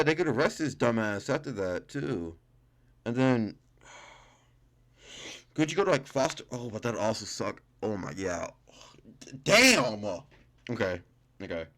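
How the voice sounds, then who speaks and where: A man's voice: medium pitch, very animated, takes it slowly, long pauses, fairly bright tone. A young man hosting a gaming video, casually reading game text aloud and commenting in slangy, informal speech, stumbling over words as he reads.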